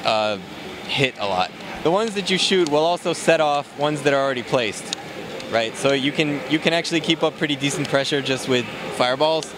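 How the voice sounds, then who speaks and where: A man casually walking through a game character's moves, explaining in a relaxed way with many fillers, recorded at a noisy event. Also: fairly high pitch, moderate pace. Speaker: game developer demoing a character. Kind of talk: casual gameplay walkthrough. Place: convention floor, noisy.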